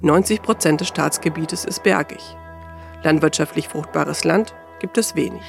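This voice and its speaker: High-pitched, creaky-voiced male radio narrator. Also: moderate pace, soft background music. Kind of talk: scripted documentary narration.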